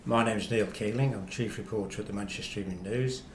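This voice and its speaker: Low-pitched West Midlands man, echoey room. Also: fast talker. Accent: West Midlands English. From Walsall, West Midlands of England. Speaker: chief reporter and podcast guest. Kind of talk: casual football banter. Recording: echoey room, faint background noise.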